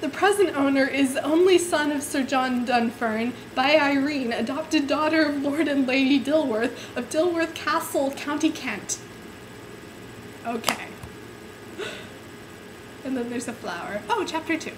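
A woman's voice: high-pitched, a little slow, slight background noise, poor audio. A woman in her twenties giving an amateur dramatic reading of an overwrought novel, giggly and prone to stumble over florid sentences. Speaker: amateur reader. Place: indoor room at home.

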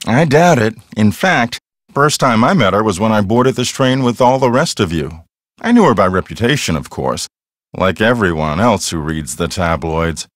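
A man's voice: fairly low pitch, animated, moderate pace, no room sound, clean studio sound. An actor playing an earnest, self-assured male ghost hunter in a mystery video game, explaining things in a matter-of-fact, conversational way. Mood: wry, measured. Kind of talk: acted video game dialogue.

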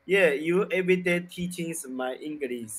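A man from China in his thirties with a Chinese accent, speaking halting beginner English into a desk mic. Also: on the high side, a bit bright. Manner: halting, broken English with many fillers. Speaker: English learner. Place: small room indoors. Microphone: desk mic on boom arm.

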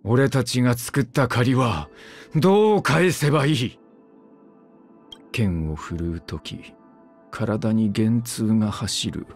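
A man's voice: lively intonation, takes it slowly, faint music behind. A man voicing a brooding, weary immortal swordsman in a game, speaking in terse, clipped phrases. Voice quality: low, curt, grim. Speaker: game character voice lines. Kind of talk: game voice-line acting.

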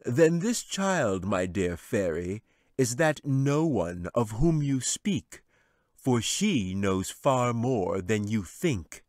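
A man voicing a sly, scheming male wizard who speaks in a slow, gloating, taunting way. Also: mid-range voice, very animated, a little mellow, dry recording, studio quality. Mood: menacing.

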